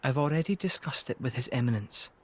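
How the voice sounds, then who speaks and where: A man playing a gracious young emperor in a video game, speaking in a formal, measured and thoughtful way. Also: mid-range voice, lively intonation, husky. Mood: commanding. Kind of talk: dramatic video game voice acting. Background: music.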